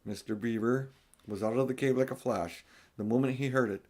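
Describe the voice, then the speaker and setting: An older man narrating a children's storybook as an audiobook reader, with expressive delivery. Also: medium pitch, fairly quick.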